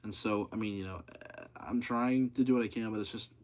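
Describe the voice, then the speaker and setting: A seventeen-year-old young man chatting casually on a livestream, speaking in a monotonous voice and rambling with lots of fillers and repeats. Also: on the low side, fairly quick.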